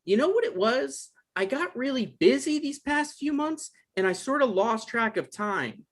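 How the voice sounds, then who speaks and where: A man acting an evasive, awkward novelist in a comedy-drama table read, halting and defensive, with stammers. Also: very high voice, big pitch swings, full character voice. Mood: sheepish. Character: Michael, evasive, awkward novelist visiting his ex.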